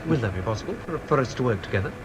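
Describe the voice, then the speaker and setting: An actor playing an earnest, reserved English gentleman in a British radio drama, formal and polite, with an English accent. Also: medium pitch, lively intonation, racing, slight background noise, lightly acted. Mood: stiff, doubtful.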